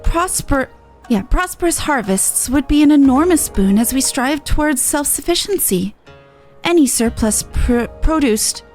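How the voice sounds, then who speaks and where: Female game streamer, casual and joking, fairly high animated voice over soft game music. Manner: playful, joking. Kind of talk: casual playthrough commentary and reading dialogue aloud. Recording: music behind the voice.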